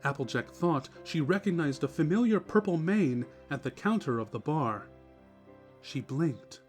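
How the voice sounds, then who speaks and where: A man's voice: a little high, lively intonation, a little slow. A man reading scripted story narration as the narrator of a dramatic reading. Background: music.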